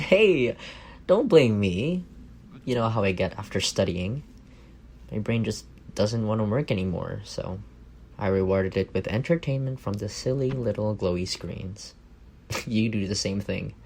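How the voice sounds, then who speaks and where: Low-voiced, teasing young roommate played by a man. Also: lively intonation, measured pace, darkish tone, slight background noise. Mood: playful, casual. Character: affectionate, teasing young roommate with a secret crush. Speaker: voice actor in audio roleplay. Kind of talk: ASMR roleplay, casual intimate chat.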